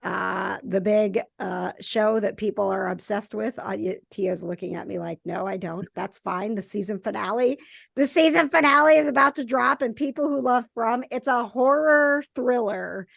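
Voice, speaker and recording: A woman's voice: mid-range voice, normal speed, creaky, no echo, studio quality. A woman guest in a casual chat, rambling with many fillers. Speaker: guest, community founder.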